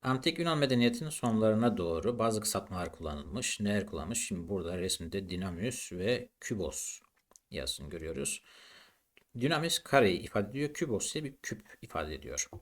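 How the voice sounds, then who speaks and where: A man's voice: on the low side, very animated, normal speed. A man teaching a maths lesson in an explanatory, didactic way, posing questions and then answering them.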